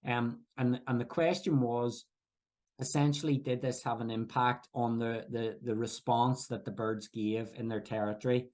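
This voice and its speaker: A man from Belfast with a Belfast accent, presenting research at a workshop in a halting, explanatory way with many restarts. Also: medium pitch, steady tone, darkish tone. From Northern Ireland. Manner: hesitant, frequent fillers and restarts, explanatory. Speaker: researcher giving a workshop talk. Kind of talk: academic presentation.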